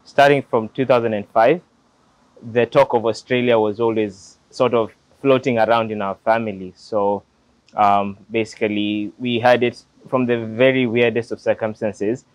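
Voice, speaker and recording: Man, late 20s, Kenyan accent, lowish voice, reflective, outdoor interview, lapel mic, dry sound. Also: fairly even intonation, normal speed, dry recording, muffled recording. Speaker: interview guest, lawyer. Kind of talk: relaxed personal storytelling. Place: outdoors beside a glass building.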